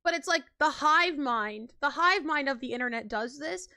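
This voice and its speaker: High-voiced chatty young woman streamer. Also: expressive, normal speed, bright, forceful tone. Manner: chatty, rambling, laughs often, heavy filler words. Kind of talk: casual live-stream chat.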